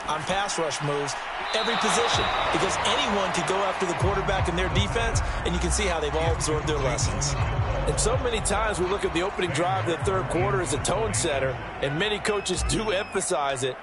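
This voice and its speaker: A man's voice: high-pitched, a little fast, over loud music, lightly acted, low-quality recording. A man playing an American color commentator in a football video game, explaining in an emphatic, conversational way like an enthusiastic coach. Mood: engaged, analytical.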